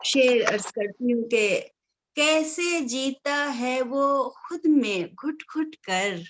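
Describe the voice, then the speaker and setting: A woman poet reciting Urdu verse at a mushaira over a video call, repeating each line with measured delivery, her voice a little hoarse from a sore throat. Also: high-pitched, expressive, a little hiss or hum. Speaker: guest poet.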